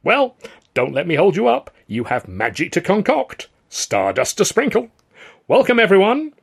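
A man voicing a dapper, fussy little theatre manager who burbles excitably in florid phrases. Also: mid-range voice, brisk, slightly rough. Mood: gushing.

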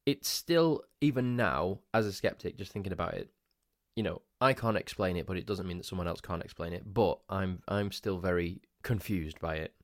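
Groggy deep-voiced man. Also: moderate pace, no room sound, studio quality. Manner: chatty, rambling with restarts, rhetorical questions. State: groggy, just woken up. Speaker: podcast host. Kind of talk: casual solo podcast storytelling.

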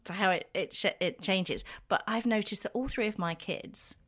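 A woman in her fifties co-hosting a relaxed podcast chat. She spins little domestic anecdotes with easy laughs. Her voice sits at a middle pitch and sounds younger than her years. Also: moderate pace. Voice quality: youthful-sounding voice she tries to smooth out. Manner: chatty anecdotal storytelling with frequent laughs. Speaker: podcast co-host. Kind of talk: casual chat.

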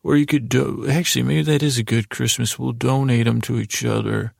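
Very creaky-voiced man rambling at bedtime. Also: mid-range voice, fairly even intonation, no echo, clean studio sound. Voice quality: very creaky, gravelly. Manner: meandering, halting, self-deprecating. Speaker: podcast host.

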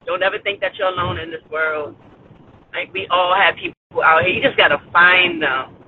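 A man's voice: sits high, steady tone, normal speed. A man in his forties calling in from a car, upbeat and encouraging, laughing often. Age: 40s. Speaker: podcast guest. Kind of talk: casual chat. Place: in a car on the street. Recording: tinny phone line with faint road noise.

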